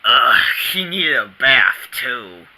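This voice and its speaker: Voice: medium pitch, big pitch swings, slow, sharp tone, exaggerated voice, rough recording. A performer voicing a laid-back, sardonic male stuffed tiger with a dry, teasing manner.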